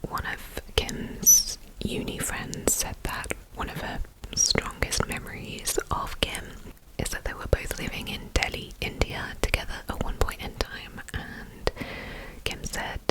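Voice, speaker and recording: A woman's voice: a little slow, low-quality recording. Whispering woman, slightly nasal. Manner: soft unvoiced whisper, chatty with "you know" asides. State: a little congested from a recent illness. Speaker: ASMR channel host. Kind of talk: whispered true crime ASMR narration.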